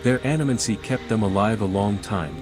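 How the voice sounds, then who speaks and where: An actor playing a calm, steady male envoy, the scholarly hero of a fantasy video game, with measured and understated delivery. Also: fairly low pitch, over music, close to an everyday voice. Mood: composed. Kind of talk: video game protagonist dialogue.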